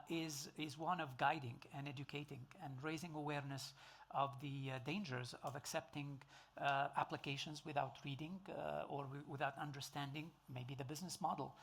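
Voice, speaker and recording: Man, middle-aged, Tunisian accent, Arabic native, raspy fry, higher pitch, halting speech. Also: fairly bright tone. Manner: halting, many fillers and restarts. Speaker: panelist, computer engineer. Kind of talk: panel discussion.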